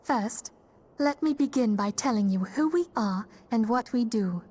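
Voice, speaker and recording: High-voiced, earnest young leader. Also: female voice, animated, unhurried, a little mellow, husky. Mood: earnest, composed. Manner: sincere, poised, formal speech. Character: earnest, kindly young female leader of secret order. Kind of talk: fantasy video game voice acting.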